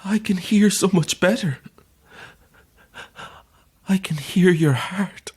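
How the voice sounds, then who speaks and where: A man playing an afflicted man slipping into eerie, obsessive possession in a horror audio drama, halting and fixated. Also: sits high, a little mellow, slightly rough, exaggerated voice. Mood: eerie, coaxing.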